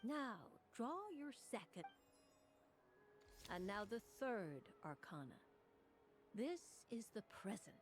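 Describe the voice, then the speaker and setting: A woman's voice: mid-range voice, big pitch swings, slow pace, long pauses, soft background music. A woman voicing a poised, mysterious tarot reader in a video game, with a formal, theatrical delivery. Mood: intrigued, mysterious.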